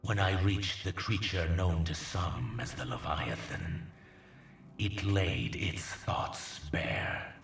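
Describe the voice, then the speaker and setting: Deep, gravelly alien conqueror. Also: male voice, expressive, fairly bright tone, full character voice. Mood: reverent gratitude. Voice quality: breathy, gravelly low rasp. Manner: grandiose, solemn, measured, reverent. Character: ruthless alien conqueror, grave devoted disciple of a dark master. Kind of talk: slow theatrical dramatic monologue in a video game. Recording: echoing, distant, faint noisy ambience.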